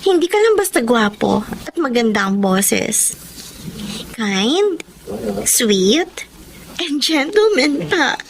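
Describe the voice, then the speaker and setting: High-voiced, flirty smitten fan. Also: female voice, sing-song, slow, long pauses, a little hiss or hum, heavily acted. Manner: giggly, gushing, mixes in English. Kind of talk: Filipino radio drama acting.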